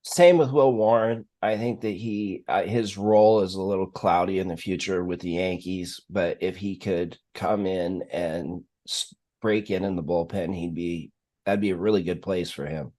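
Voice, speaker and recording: A man hosting a casual sports talk stream, explaining things in a measured, analytical way. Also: sits low, fairly even intonation, mellow. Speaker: sports live stream host.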